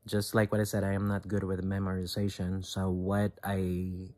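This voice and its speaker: A man in his thirties from the Philippines, with a Filipino accent and English as his second language, talking live to his viewers in a halting way, with many fillers and restarts. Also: sits low, monotone, a little mellow. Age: 30s. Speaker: vlogger. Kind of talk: casual talk to camera, done live.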